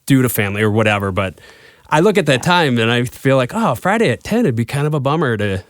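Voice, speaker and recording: Man, podcast host; animated, meandering questioner; dry sound. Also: mid-range voice, fast talker, dry recording. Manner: rambling questions with many backchannels. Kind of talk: casual interview conversation.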